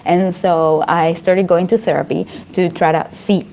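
A Venezuelan woman in her late twenties or thirties, a Spanish speaker, telling her story as an interview guest in a lively, laughing way. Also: on the low side, unhurried, creaky, a little hiss or hum. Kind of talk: conversational interview.

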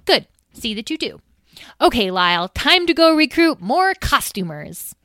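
A woman voicing a brisk, bossy young girl in a storybook, talking impatiently and giving orders. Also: high voice, big pitch swings, bright, forceful tone, dry recording, clean studio sound, pitched above the performer's own voice, exaggerated voice. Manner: impatient, commanding.

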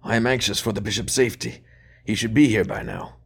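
A weary, gentle old churchman who speaks softly and kindly, worn thin by fever and age, as a male narrator puts him on. His voice sits low but is lifted a little above the reader's own. It is the quiet, careful speech of a frail elder giving grave news. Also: sing-song, quick pace, gravelly, husky, heavily acted. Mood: anxious. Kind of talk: audiobook character voice.

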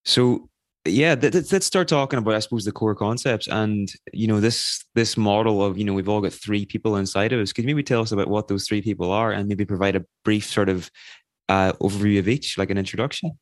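A male interview host who talks quickly and reacts with open enthusiasm. His voice sits somewhat low. The recording is dry and close-miked. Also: dry recording. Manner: enthusiastic, asks rambling questions. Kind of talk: casual interview.

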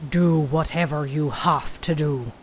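Woman acting stern male king, lowered pitch, terse, commanding, fantasy audio drama. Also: unhurried, slight background noise.

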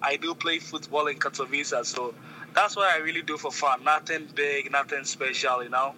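A man from Ghana, a student, chatting in a relaxed way with lots of "okay" and "you know". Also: a little high, normal speed, slight background noise, low-quality recording.

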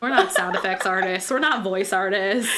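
A woman's voice: medium pitch, moderate pace, a crowd in the background. A woman in her twenties co-hosting a podcast, telling stories in a casual, giggly, slangy way with plenty of swearing. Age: 20s. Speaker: true crime podcast co-host. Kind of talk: casual conversational storytelling with profanity.

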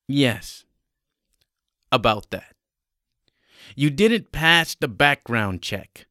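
A man's voice: medium pitch, very animated, drawn-out words, bright, forceful tone, no room sound, studio quality, pitched above the performer's own voice, exaggerated voice. A man voicing an evasive Air Force sergeant in a dramatic story, apologetic but brusque and dismissive.

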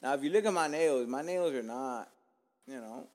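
A man's voice: medium pitch, lively intonation, normal speed. Male podcast host, 30s, born in Cuba; loose, profane, joking delivery. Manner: profane, joking, laid-back street talk. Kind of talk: casual podcast chat.

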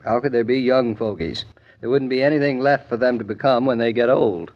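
Male actor as young husband, teasing, easygoing, medium pitch, 1940s radio soap, dull lo-fi recording. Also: a little fast, natural voice. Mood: teasing, wry. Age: young adult.

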